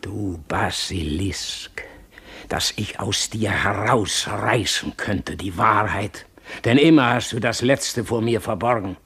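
A man's voice: fairly low pitch, slow pace, sharp tone, husky, exaggerated voice. An actor playing a tormented, fearful, imperious king in a German radio play, declaiming with anguish. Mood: bitter, hushed. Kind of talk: German radio play, theatrical dramatic acting.

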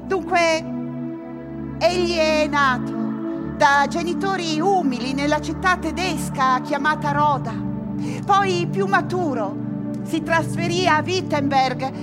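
An actress playing a knowing, solemn chorus narrator, declaiming verse with deliberate pauses in a radio play. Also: female voice, high voice. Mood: solemn, declamatory. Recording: loud music behind the voice. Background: loud music.

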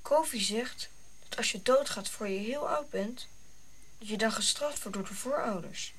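A woman's voice: fairly high pitch, normal speed, a bit bright, breathy edge. A woman playing an anxious, curious young African boy in a radio drama, with a simple childlike way of questioning.

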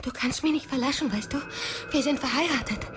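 An actress playing a fragile, troubled young wife in a radio drama, speaking softly and shakily. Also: female voice, sits high, brisk, noisy background, close to an everyday voice. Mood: desperate.

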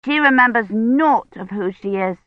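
A woman's voice: a little high, lively intonation, normal speed, no room sound, muffled recording, pitched above the performer's own voice, lightly acted. A woman voicing a small, eager, trusting girl in an audiobook, chattering artlessly in old-fashioned phrasing. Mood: matter-of-fact, insistent. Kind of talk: audiobook character acting.

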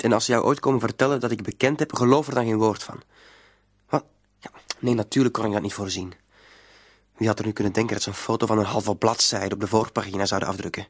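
An actor playing a stubborn, guarded blackmailer in a radio drama, curt and defiant. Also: male voice, on the low side, gravelly, husky, no echo, clean studio sound. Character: cagey, defiant male blackmailer, stubborn small-time crook. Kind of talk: radio drama acting in dialogue.

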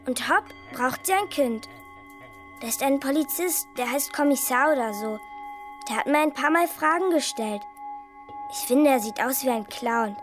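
An actress playing a secretive, stubborn little girl who confides in a childlike way in a radio drama. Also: high voice.

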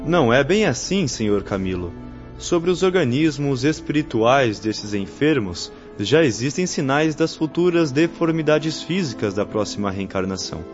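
A man's voice: mid-range voice, animated, normal speed, close to an everyday voice. Muffled, solemn young missionary spirit acted by a man. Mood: solemn, instructive. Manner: didactic, formal, solemn. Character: earnest young male spirit missionary, patient instructor. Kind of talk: radio drama acting. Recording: muffled lo-fi radio drama recording, faint music.

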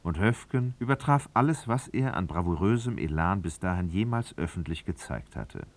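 Low-voiced male literary narrator. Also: sing-song, normal speed. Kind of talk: literary narration in a radio drama.

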